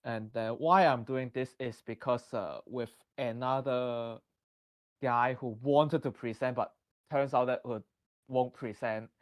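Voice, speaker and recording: A man with a Hong Kong accent presenting a math lesson from slides, halting and full of restarts. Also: medium pitch, fairly even intonation, no room sound. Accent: Hong Kong English. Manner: halting, many restarts and fillers. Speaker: guest presenter.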